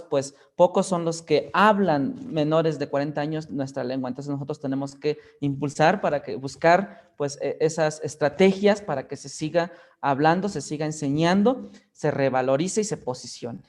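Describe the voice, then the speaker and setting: A man's voice: fairly high pitch, normal speed. A man from Mexico speaking earnestly as a panelist, using frequent fillers. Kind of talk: panel discussion.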